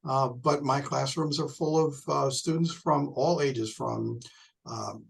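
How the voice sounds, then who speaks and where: A man in his late sixties, a teacher on a panel, talking in a hesitant, halting, conversational way. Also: medium pitch, fairly even intonation, gravelly. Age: late 60s.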